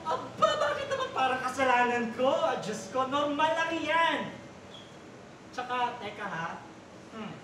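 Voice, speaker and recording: Campy, high-voiced big brother, echoey. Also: very animated, unhurried. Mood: sassy scolding. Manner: campy, exclamatory, mixing English into Tagalog. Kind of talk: staged reading of a one-act play. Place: basement theater stage. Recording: echoey stage room, distant, loud background noise.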